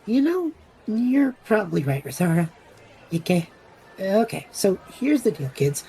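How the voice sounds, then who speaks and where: A woman's voice: mid-range voice, sing-song, measured pace, mellow, poor audio. A woman playing an impulsive, chatty male traveller with a flippant, theatrical manner in an audio drama. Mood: showy, playful.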